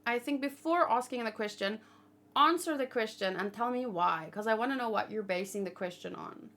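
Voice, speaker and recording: A woman's voice: fairly high pitch, normal speed, a bit bright. A woman hosting a casual livestream discussion, reasoning aloud and giving her opinions in a conversational way. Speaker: livestream host. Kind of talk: casual chat, manga discussion and commentary.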